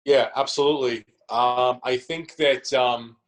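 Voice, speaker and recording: Male actor being interviewed, middle pitch, conversational, circles back over his phrases. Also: steady tone, measured pace. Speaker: actor and theatre company member, interview guest.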